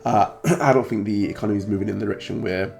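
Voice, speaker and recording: Croaky low-voiced British man talking to camera. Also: quick pace, darkish tone. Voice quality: gravelly. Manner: rambling, repeats himself, clears throat often. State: croaky, hoarse voice. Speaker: trading card channel host. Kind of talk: casual talk to camera.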